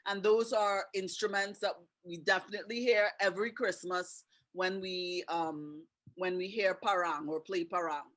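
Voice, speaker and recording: A woman's voice: on the low side, fairly even intonation, normal speed, few pauses, fairly bright tone. A woman with a Trinidadian accent, hosting a webinar over a video call in a chatty way and asking her guests questions. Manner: chatty, laughs often, asks questions. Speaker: webinar host.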